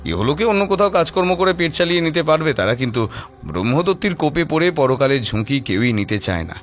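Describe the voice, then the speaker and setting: A man's voice: a little high, very animated, fast, faint music behind. A man narrating a scripted story in a dramatic storytelling style.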